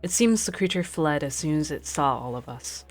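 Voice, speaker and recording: A woman's voice: low voice, animated, moderate pace, faint music behind. A woman playing a stern captain of explorers, firm and commanding, in a radio drama.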